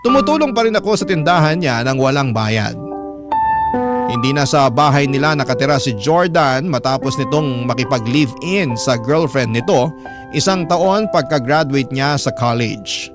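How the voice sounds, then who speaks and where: A man's voice: mid-range voice, lively intonation, normal speed. A man reading a scripted first-person story as a radio drama narrator. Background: music under the voice.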